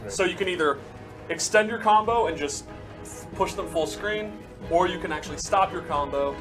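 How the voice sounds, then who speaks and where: A man with a slightly high voice walks through game moves on a live stream. He explains things in an instructive way while music plays clearly behind him. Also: moderate pace. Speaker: game developer demonstrating moves. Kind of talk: live stream gameplay demonstration.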